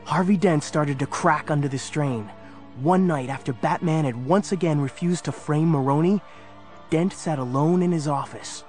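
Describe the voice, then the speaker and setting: A man's voice: fairly high pitch, moderate pace, darkish tone, soft background music. A man narrating a scripted story in a dramatic storytelling style.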